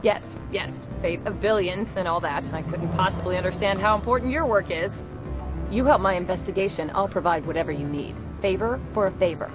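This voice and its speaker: A woman's voice: fairly low pitch, a little fast, over music, close to an everyday voice, poor audio. A woman playing a sardonic, businesslike undercover corporate investigator in a video game. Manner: wry, businesslike.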